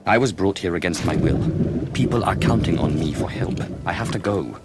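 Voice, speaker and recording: A man's voice: deep voice, moderate pace, heavy background noise, lightly acted. A man voicing a guarded, commanding Sith protagonist in a video game, with a controlled, skeptical, dry delivery. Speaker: video game character voice actor. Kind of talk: scripted dramatic game dialogue.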